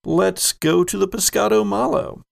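A man's voice: high voice, moderate pace, vocal fry. A man hosting an online tabletop game podcast, easygoing as he steers the crew's plugs before play. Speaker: game master and host.

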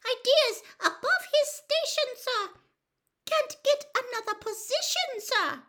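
A woman voicing a timid, devoted little female house elf servant in an audiobook, with a squeaky, quivering voice and servile, anxious manner. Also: animated, slow, pushed and bright, exaggerated voice. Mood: sad disapproval. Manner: servile, scolding, ungrammatical deference.

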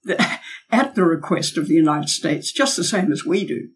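A woman's voice: sits low, expressive, moderate pace. A woman, a former diplomat and academic, speaking as a guest in a podcast interview and arguing her points emphatically with rhetorical questions. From Australia.

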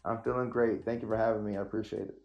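A man in his thirties, born in Ghana, answering interview questions in a relaxed way, with many "uh" and "you know" fillers. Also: lowish voice, very fast, vocal fry, muffled recording, faint music behind. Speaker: guest, psychiatric nurse practitioner.

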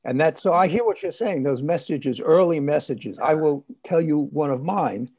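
A man with a husky, gravelly voice hosts a podcast conversation. His pitch sits a little high and moves in lively swings. He asks questions and backs up his guest with short interjections. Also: normal speed. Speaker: podcast host.

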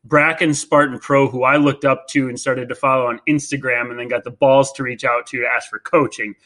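Bright-voiced Midwestern man, rambling. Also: medium pitch, level tone, fairly quick. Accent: Midwestern American. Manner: rambling, hesitant, filler-heavy. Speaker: podcast host, interviewer. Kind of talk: casual podcast interview.

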